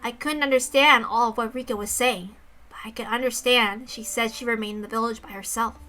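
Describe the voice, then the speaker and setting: Casting: high, bright female reader for visual novel narration, with informal asides. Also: fairly even intonation, fairly quick. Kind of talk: dramatic narration with casual asides.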